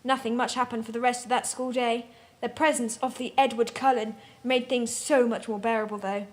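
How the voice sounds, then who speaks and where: A woman's voice: fairly high pitch, steady tone, a little fast. A woman narrating a comic dramatic reading in a mock-serious, theatrical manner.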